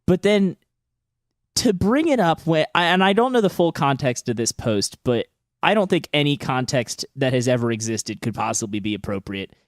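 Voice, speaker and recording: A man's voice: mid-range voice, very animated, moderate pace, no room sound, clean studio sound. A man co-hosting a podcast with casual comedic commentary, ranting in a sarcastic, sweary way. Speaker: podcast co-host.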